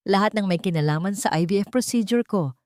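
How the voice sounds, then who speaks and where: A woman with a Filipino accent voicing a calm, firm, protective wife in a dramatic audio story. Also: mid-range voice, fast talker, dry recording, studio quality, close to an everyday voice. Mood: calm, resolute. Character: wronged pregnant wife, calm, resolute, protective.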